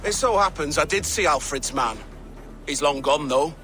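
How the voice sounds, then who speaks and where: An actor playing a surly, scornful medieval townsman in a video game, gruff and dismissive in manner. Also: fairly high pitch, animated, normal speed, over music, lightly acted. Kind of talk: video game character acting.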